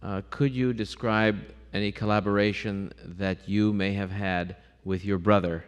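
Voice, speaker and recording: A man moderates an audience Q&A, pointing out people in the rows and repeating their questions. His voice is low and smooth, and he speaks briskly and plainly. The sound carries the long reverb of a large hall. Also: level tone. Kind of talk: calling on audience, relaying questions. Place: large auditorium.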